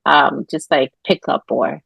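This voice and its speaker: A woman's voice: a bass voice, steady tone, a little slow, creaky, husky, no room sound, muffled recording. A woman hosting a podcast who asks rambling, casual questions with many fillers. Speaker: podcast host. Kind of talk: podcast interview.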